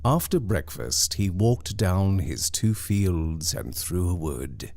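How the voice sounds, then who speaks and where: A man reading a scripted ghost story in a measured, dramatic storyteller's manner. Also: deep voice, very animated, darkish tone. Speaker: story reader.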